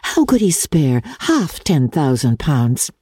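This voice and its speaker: A woman voicing a gentle, hopeful young gentlewoman in a dramatic reading of a classic novel, earnest and soothing in formal period diction. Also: low-pitched, sing-song, slow, slightly rough, no room sound, full character voice. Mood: hopeful, reassuring.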